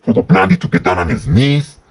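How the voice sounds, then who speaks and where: A man voicing a gruff, defiant comic-book hero with a gravelly, sneering growl. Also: fairly low pitch, animated, a little fast. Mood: mocking, defiant. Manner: sneering, insubordinate.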